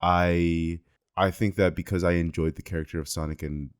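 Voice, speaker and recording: A man on a podcast panel giving measured, hedged opinions in casual chat. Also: sits low, fairly even intonation, clean tone, dry recording, studio quality.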